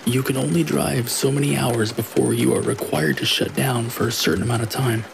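Gravelly male horror narrator over rain. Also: medium pitch, a little fast. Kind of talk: scripted narration of scary stories. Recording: steady rain noise behind the voice. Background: rain falling.

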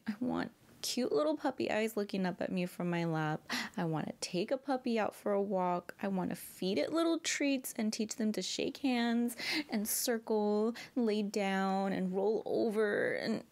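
A woman's voice: fairly high pitch, creaky, a little mellow. A young woman playing a bratty, pouting girlfriend in an ASMR audio roleplay, pleading and often dropping to whispers. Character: bratty pouting girlfriend begging her partner.

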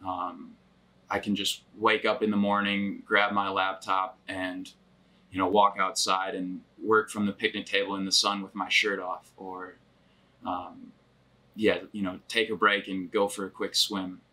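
Steady bright-toned young man. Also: low-pitched, unhurried. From Grand Rapids, Michigan, US. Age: 20s. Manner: reflective, steady, many fillers. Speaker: remote worker. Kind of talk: casual sit-down interview. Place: wood-paneled cabin living room.

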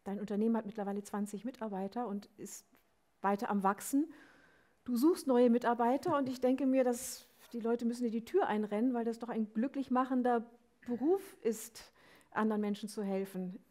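A woman's voice: a little high, moderate pace, mellow. A middle-aged woman, hosting an on-stage interview and putting questions to a guest. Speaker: host, interviewer. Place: indoor small stage. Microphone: headset.

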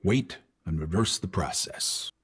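An actor playing a gruff, weary older hired driver who narrates tersely in film noir style. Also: male voice, low voice, lively intonation, unhurried, a bit bright, a little hiss or hum. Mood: weary, matter-of-fact. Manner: hard-boiled, terse, profane. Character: gruff, weary old hired driver, world-worn tough guy.

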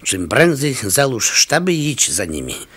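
An actor playing a sly, calculating pearl merchant in a Polish radio drama. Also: male voice, mid-range voice, huge pitch swings, unhurried, a bit bright, slightly rough, exaggerated voice. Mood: conspiratorial, commanding.

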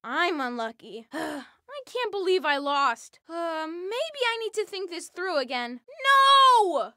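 A performer voicing an energetic, scheming, good-natured young cartoon boy for a video game, in an eager, expressive, exclamatory way. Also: very high-pitched, takes it slowly, dry recording, clean studio sound, heavily acted. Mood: deflated, wry, then excited, triumphant. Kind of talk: animated video game voice clips, exclamatory one-liners.

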